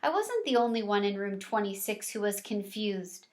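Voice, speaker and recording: A woman reading a children's storybook aloud, deliberately and expressively, as if for young listeners. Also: medium pitch, very animated, a little mellow. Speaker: read-aloud teacher or reader.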